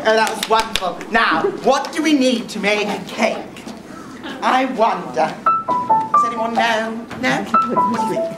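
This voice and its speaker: A man's voice: sits high, unhurried. Guy doing a jolly panto dame, sing-song, hyping up kids, echoey theatre with music. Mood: jolly, coaxing. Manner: exaggerated, sing-song, coaxing the children. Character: jolly pantomime dame leading a children's singalong. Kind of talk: British pantomime audience participation. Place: theatre stage before an audience.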